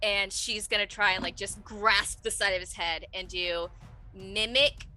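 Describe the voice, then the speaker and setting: A woman's voice: mid-range voice, steady tone, measured pace, pushed and bright, faint music behind, poor audio. A woman playing a tabletop game, chatting informally and often interrupting herself. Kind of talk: casual, animated game chat.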